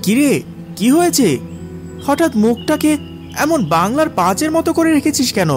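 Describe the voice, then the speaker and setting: A man voicing an insistent, evasive friend in an animated story, speaking in a familiar, chiding way. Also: very high-pitched, normal speed, over music, pitched above the performer's own voice. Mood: concerned. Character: insistent, evasive young male village friend. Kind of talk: animated story character dialogue.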